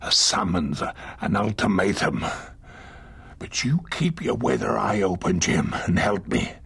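Casting: ailing old pirate captain, radio drama. Very husky, low, gruff, wheedling and secretive. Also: male voice, animated, fairly bright tone, exaggerated voice. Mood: secretive, urgent. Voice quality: raspy, gravelly, breathy. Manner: slow old sailor talk, muttering.

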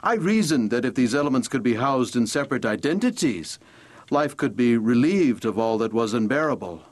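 An actor playing an earnest, idealistic, guilt-ridden Victorian gentleman doctor, speaking formally and eloquently in an audio drama. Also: mid-range voice, big pitch swings, normal speed. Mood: earnest, brooding. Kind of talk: audio drama, journal narration and dialogue.

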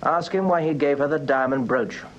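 An actor playing a formal police inspector in a British radio drama, deliberate in delivery. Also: male voice, fairly high pitch, lo-fi sound, slight background noise, lightly acted. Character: police inspector reading evidence aloud, formal.

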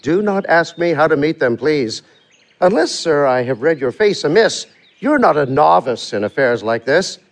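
Wily manservant for verse comedy: knowing, flourishing, higher-pitched male voice, lively swoops. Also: normal speed, gravelly. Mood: sly, knowing. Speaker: actor. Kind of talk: theatrical verse comedy performance.

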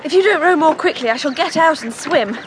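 High-voiced, headstrong young Englishwoman. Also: lively intonation, fairly quick, noisy background. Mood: brisk, impatient. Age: young adult. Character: spirited, headstrong young upper-class Englishwoman. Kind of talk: radio drama acting.

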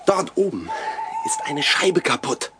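A man's voice: on the high side, very animated, unhurried, noisy background. A young man playing a bold, cocky ringleader in a horror radio drama, brash and dismissive, urging his companion on. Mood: confident. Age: young adult. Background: prominent music.

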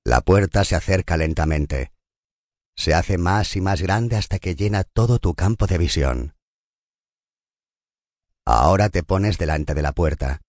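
A man narrating a scripted guided meditation in a calm, soothing and unhurried manner. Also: low-pitched, no room sound, clean studio sound.

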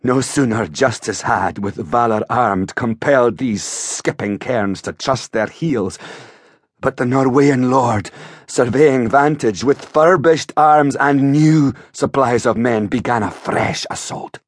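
A man plays a battle-worn sergeant reporting in Shakespearean verse. The delivery is slow, halting and declamatory, husky with exhaustion, in a close, dry audiobook recording. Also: mid-range voice, no echo. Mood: strained, failing.

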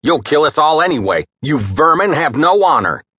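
A man's voice: on the high side, very animated, normal speed, sharp tone, breathy edge, no echo, clean studio sound, voice pushed up, heavily acted. A man voicing a frightened but defiant merchant captain whose voice is harsh. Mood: defiant, scared.

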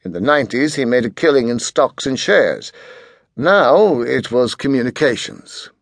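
Man, audiobook narration, expressive, medium pitch, highly varied intonation, scripted. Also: a little slow, creaky, no echo, studio quality.

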